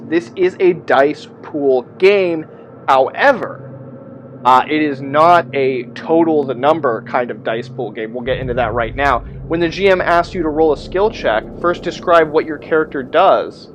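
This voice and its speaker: A man's voice: mid-range voice, slight background noise. A man presenting a casual explainer on tabletop roleplaying game rules, conversational, moving between reading out text and off-the-cuff asides.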